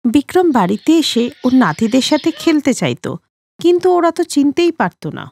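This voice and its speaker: A woman's voice: high-pitched, sing-song, moderate pace, vocal fry, darkish tone. A woman reading scripted narration for a cartoon family story as its storyteller.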